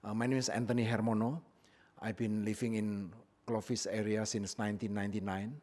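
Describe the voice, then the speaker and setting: A man originally from Indonesia presenting his business plan at a public hearing, speaking plainly with frequent pauses and fillers into a podium microphone in a council chamber. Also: lowish voice, little pitch movement, a little mellow.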